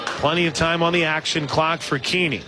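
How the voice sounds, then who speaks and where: Male play-by-play announcer for live softball radio, slightly high register, heavy noise and crowd behind him. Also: steady tone, brisk. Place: outdoor softball stadium broadcast position. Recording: loud stadium crowd and noise. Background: loud crowd, outdoor ambience.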